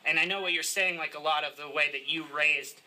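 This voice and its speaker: A man's voice: a little high, fairly even intonation, fairly quick, pitched above the performer's own voice, low-quality recording. A man putting on a cocky Massachusetts-accented bro. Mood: chummy. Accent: put-on Massachusetts. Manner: cocky, overly chummy, gets loud and indignant. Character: brash young man prank-calling, calling himself D-Spin. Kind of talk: prank phone call. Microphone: recorded from a phone on speaker.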